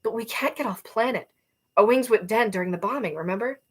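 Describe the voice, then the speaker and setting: A woman voicing a cautious, worried young female squad leader in a novel reading, measured and hesitant. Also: a little high, husky, voice pushed up. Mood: reluctant, decisive.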